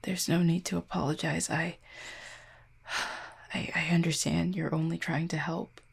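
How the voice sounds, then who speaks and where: Woman voicing a gravelly, husky, wounded fallen cupid. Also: low-pitched, flat delivery, exaggerated voice. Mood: weary, polite. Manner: formal, wry wording with weary sighs and hesitant pauses. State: in pain, strained. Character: injured fallen cupid, proud, formal, guarded adult. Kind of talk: intimate audio roleplay addressed to listener.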